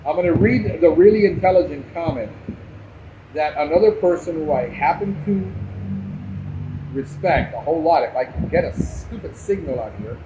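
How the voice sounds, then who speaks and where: A man's voice: a little high, normal speed. An American man hosting a podcast in a casual, unscripted way, with a blunt, combative manner. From Cuban, grew up in New Jersey and Miami. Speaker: podcast host. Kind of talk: casual unscripted live commentary. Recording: muffled, loud background noise.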